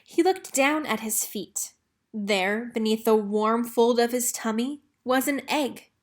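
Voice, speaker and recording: A woman narrating a children's story aloud in an expressive read-aloud style. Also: on the high side, slow pace. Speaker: storybook reader.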